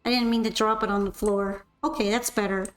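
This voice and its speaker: A young woman streamer chatting casually while gaming, her voice a little raspy and worn out. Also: medium pitch, fairly even intonation, brisk, echoey room. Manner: chatty, rambling, upbeat sign-off. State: slightly raspy, tired voice. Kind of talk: casual gameplay stream chat.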